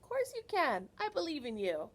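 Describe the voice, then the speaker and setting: A woman reading a picture book aloud to young children as a librarian, animated and teacherly, often pausing to put questions to her listeners. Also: high-pitched.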